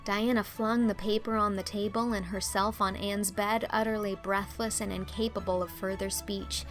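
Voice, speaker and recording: A woman's voice: medium pitch, little pitch movement, normal speed, fairly bright tone. Woman narrating a storybook over music. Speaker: audiobook narrator and host.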